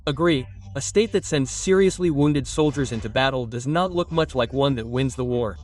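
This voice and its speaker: A man's voice: mid-range voice, moderate pace, darkish tone, recorded from a distance. A man reading scripted political commentary in a sardonic, mocking manner. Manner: sarcastic, mocking. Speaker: narrator of a satirical war commentary video.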